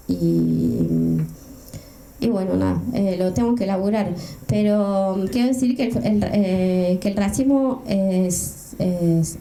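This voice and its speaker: A woman's voice: mid-range voice, warm tone, slight background noise. A middle-aged woman from France speaking on a panel, hesitant and searching for words. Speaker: panelist, writer. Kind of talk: panel discussion. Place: event hall. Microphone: handheld mic. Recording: roomy hall.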